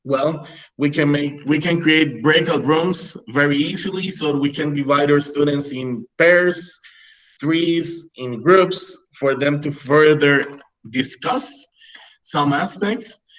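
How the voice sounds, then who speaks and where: A man's voice: on the high side, takes it slowly, fairly bright tone, low-quality recording. A man in his thirties whose first language is Spanish, teaching a webinar for English teachers over a video call and often checking in with "right?". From Peru. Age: 30s. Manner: explanatory, frequent "right?" checks.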